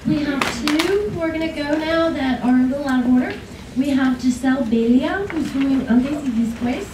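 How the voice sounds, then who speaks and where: A woman announces the next contestants in a relaxed, informal way from a podium in a classroom. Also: high voice, normal speed. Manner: informal, with restarts. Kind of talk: casual announcement. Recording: roomy room, far-off camera, noise.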